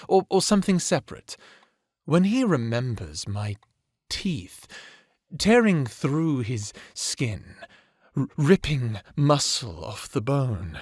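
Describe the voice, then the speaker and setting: A man's voice: fairly high pitch, no echo, studio quality, heavily acted. A man acting a sadistic, playful vampire villain who taunts theatrically in an audio drama. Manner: taunting, theatrical, toying with words.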